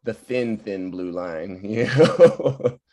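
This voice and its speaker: A man's voice: lowish voice, lively intonation, slow, dark tone. A man speaking as a playwright in a casual, informal discussion over a video call. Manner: informal, laughs often. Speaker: playwright taking part in a table read. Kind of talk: casual discussion, explaining the work. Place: virtual table read over video call.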